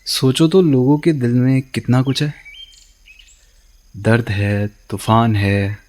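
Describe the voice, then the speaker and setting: A man's voice: mid-range voice, steady tone, takes it slowly, a little mellow, close to an everyday voice. A man playing wry heartbroken young Darpan. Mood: wistful. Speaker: radio drama actor. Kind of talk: radio play acting.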